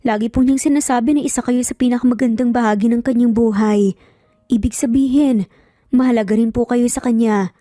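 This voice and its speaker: A woman's voice: fairly high pitch, fairly even intonation, brisk, dark tone, no echo, clean studio sound, lightly acted. A woman voicing an anxious, apologetic young wife who speaks politely and hesitantly in an audio story. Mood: apologetic, sad.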